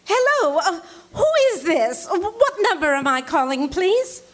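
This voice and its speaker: Woman acting flustered nervy invalid wife, high voice thats all over the place, old radio drama. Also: very animated, a little slow, full character voice. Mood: anxious, confused. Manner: fretful, flustered, insistent. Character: nervous, fretful invalid wife alone at home.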